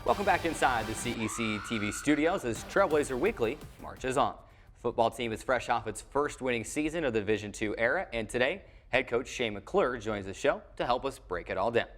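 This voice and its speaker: A man's voice: mid-range voice, expressive, a little fast, fairly bright tone, faint music behind. A man hosting a broadcast sports show in a studio, talking in a conversational, wordy way.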